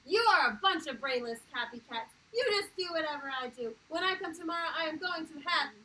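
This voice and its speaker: A woman's voice: sits high, expressive, a little fast, pushed and bright, far from the mic, slight background noise, pitched above the performer's own voice, low-quality recording. A woman reading a storybook aloud, voicing a stubborn, feisty schoolgirl with emphatic, defiant delivery. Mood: indignant.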